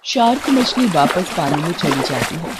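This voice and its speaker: A woman narrating a cartoon story in a plain, steady storytelling manner. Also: low-pitched, moderate pace, very noisy.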